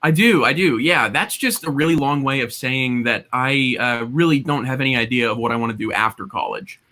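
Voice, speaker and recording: A man's voice: medium pitch, a little fast, pushed and bright. Chatty young man from Hawaii. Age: young adult. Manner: chatty, self-deprecating, many restarts. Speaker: guest. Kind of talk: casual interview chat.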